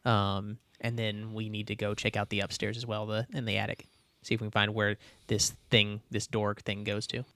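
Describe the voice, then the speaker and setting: A man's voice: on the low side, flat delivery, moderate pace, fairly bright tone, no room sound. A man playing a tabletop role-playing game, talking things through casually in a careful, deliberative manner.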